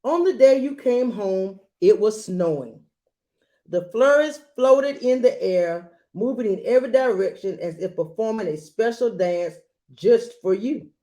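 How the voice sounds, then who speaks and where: A woman reading a children's picture book aloud expressively and gently, adding small asides as she goes. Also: medium pitch, lively intonation. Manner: gentle, unhurried, adding commentary to listeners.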